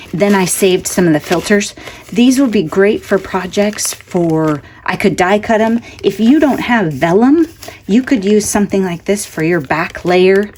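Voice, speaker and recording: A woman with a slightly low-pitched, congested voice talks casually as a craft channel host. She rambles in a conversational way, dropping in small self-joking asides as she goes. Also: a little mellow, slight background noise. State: congested from allergies. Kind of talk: casual, chatty craft update.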